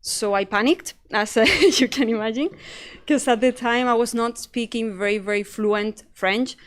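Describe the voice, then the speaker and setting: Young Spanish-accented woman, panel speaker, bright higher voice, clean and smooth, chatty and self-mocking. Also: moderate pace. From Spain. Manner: chatty and self-deprecating, acts out her own past thoughts. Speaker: panel speaker, investigative journalist. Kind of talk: casual panel talk to students.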